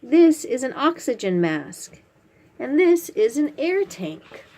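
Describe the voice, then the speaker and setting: A woman's voice: sits high, very animated, slow pace, warm tone. A woman hosting a children's read-aloud, explaining a picture book to little ones in simple, instructive phrasing with questions to the listener.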